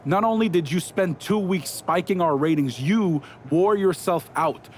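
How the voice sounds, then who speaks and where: Guy playing smug wrestling bad guy, highish voice, sarcastic gloating promo, some noise behind. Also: close to an everyday voice. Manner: sarcastic, gloating, stuttering restarts for mockery. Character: smug, taunting male wrestling villain boss.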